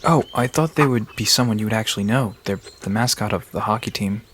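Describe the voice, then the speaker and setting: A woman's voice: very deep voice, brisk, a little hiss or hum. A woman playing an easygoing, knowledgeable park guide in an audio drama, casual and reassuring as they explain things. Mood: explanatory.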